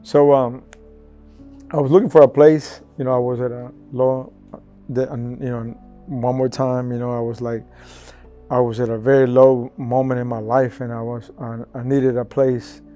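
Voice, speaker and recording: A man tells his faith story in an earnest, halting way and often restarts his sentences. His voice is mellow and dark and stays level, with little rise and fall. Soft music plays faintly behind him. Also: mid-range voice, little pitch movement, highs cut off. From El Salvador. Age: 40s. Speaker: testimony speaker. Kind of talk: personal faith testimony.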